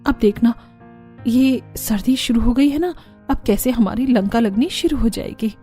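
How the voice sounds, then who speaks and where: A woman's voice: a little high, a little slow, warm tone, soft background music, natural voice. A woman voicing a weary, evasive elder daughter-in-law in a cartoon story, grumbling and placating by turns. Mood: dread, grumbling.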